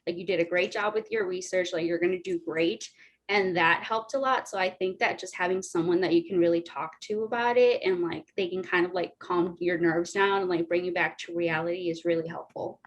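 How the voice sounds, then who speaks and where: A woman's voice: lowish voice, fairly even intonation, brisk. A young woman, a college student on a panel, telling a personal story casually and filling her speech with "like.". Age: young adult. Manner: heavy use of "like" fillers.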